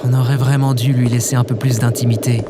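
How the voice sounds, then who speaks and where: A man's voice: mid-range voice, little pitch movement, fast talker, heavy background noise, close to an everyday voice. A man playing an anxious, well-meaning cat owner in an audio drama, informal and sheepish. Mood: exasperated. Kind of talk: casual audio drama dialogue.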